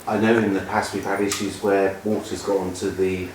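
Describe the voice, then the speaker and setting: A man's voice: low voice, little pitch movement, normal speed. A man casually asking practical questions as an attendee at an informal session. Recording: very echoey room, distant mic, clear noise.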